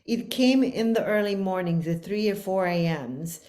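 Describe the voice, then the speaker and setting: A woman's voice: medium pitch, moderate pace, warm tone. A woman from Bosnia with a Bosnian accent, speaking earnestly and expansively as a podcast guest. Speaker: guest author. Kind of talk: casual podcast conversation.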